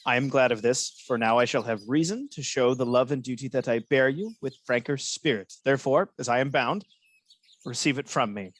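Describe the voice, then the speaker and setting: An actor playing a scheming false friend who feigns honest concern, speaking measured, insinuating Shakespearean verse in a radio play. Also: male voice, medium pitch, close to an everyday voice. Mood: cautioning.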